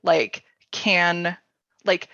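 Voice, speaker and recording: The recording is roomy and a little dull. A woman with a slightly low voice talks casually about tabletop games. She is informal and halting, thinking out loud as she goes. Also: fairly even intonation, gravelly. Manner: informal, halting, thinking aloud with many likes. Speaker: panelist at a tabletop roleplaying storytelling workshop.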